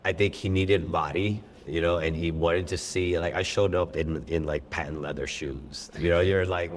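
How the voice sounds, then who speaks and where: A man's voice: low voice, fairly even intonation, normal speed, a little hiss or hum. A middle-aged man, a chef being interviewed, telling his story in a rambling way with many fillers and restarts. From born in Thailand, raised in New York. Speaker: chef and restaurant owner, guest.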